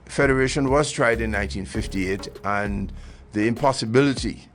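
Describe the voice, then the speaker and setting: A man in an interview explaining history, halting with many uhs and restarts. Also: lowish voice, soft background music. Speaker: government official.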